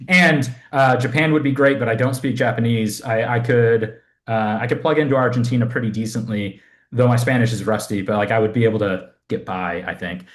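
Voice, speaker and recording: A man's voice: mid-range voice, a little fast. A young man from Southern California, a fitness coach chatting casually as a podcast guest, rambling with lots of fillers. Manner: rambling, self-deprecating, many fillers. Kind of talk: casual interview chat.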